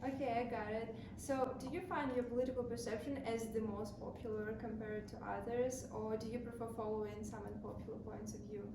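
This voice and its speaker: A woman's voice: fairly high pitch, steady tone, fairly quick, few pauses. A young woman asking interview questions with slightly phrasing and encouraging murmurs. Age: young adult. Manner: slightly non-native phrasing, encouraging mm-hmms. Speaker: student interviewer. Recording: roomy, distant mic, noisy.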